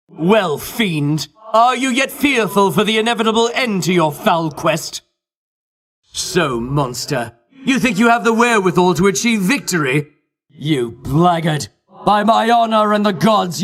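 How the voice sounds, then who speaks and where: A man's voice: high voice, fairly bright tone, exaggerated voice. An actor playing a pompous, vainglorious Greek champion in a video game, disdainful of his foes, speaking in grandiloquent, archaic phrases with theatrical delivery.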